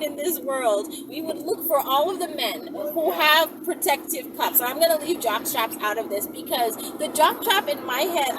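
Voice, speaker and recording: Woman w/ highish voice rambling to camera, far from the mic, really noisy traffic behind her. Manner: informal, thinking aloud with rhetorical questions. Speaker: video host answering viewer requests. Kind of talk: casual rambling chat to camera. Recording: distant mic, loud vehicle and street noise.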